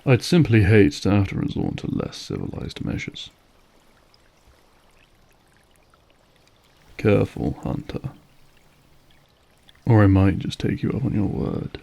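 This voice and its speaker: A man's voice: lowish voice, big pitch swings, normal speed, gravelly, mellow, heavily acted. A male actor playing a suave, menacing, manipulative vampire lord in an audio roleplay, silky, mocking and formal as he savors each phrase. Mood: menacing, playful. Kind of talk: scripted audio roleplay acting.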